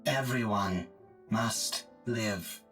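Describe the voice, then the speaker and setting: An actor playing a calm, emotionless male combat support robot who speaks in flat, precise, formal phrases. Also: lowish voice, extremely slow, faint music behind. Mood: measured.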